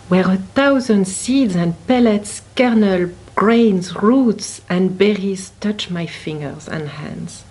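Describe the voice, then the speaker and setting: A woman reads a picture book aloud at a slow, unhurried pace. Her pitch sits in an ordinary middle range, and she leans on key words with deliberate, dramatic emphasis, as an expressive storyteller for children. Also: a little hiss or hum, rough recording. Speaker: picture book reader at a library.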